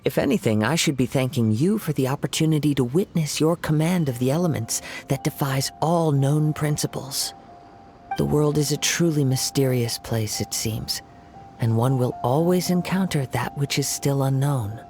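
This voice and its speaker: A man's voice: medium pitch, darkish tone, faint music behind, close to an everyday voice. A man playing a formal, courteous young swordsman. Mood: calm. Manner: formal, polite and measured. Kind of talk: game voice acting.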